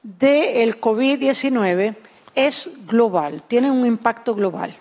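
Woman interpreting a sermon, slightly high. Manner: brisk, even interpreting with small self-corrections.

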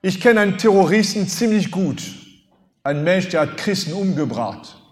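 A man in his fifties whose first language is French preaches in a fairly high voice. He pushes points with sudden rises in loudness and rhetorical questions. His voice carries a long reverb, as if from a large hall. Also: normal speed. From France. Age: 50s. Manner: animated, rhetorical questions, emphatic swings in loudness. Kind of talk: sermon.

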